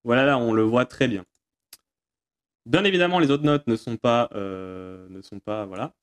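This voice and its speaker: A man with an average-pitched voice presents a casual tutorial. He explains informally and hesitantly, with a self-deprecating streak, as if answering viewers' questions off the cuff. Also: normal speed.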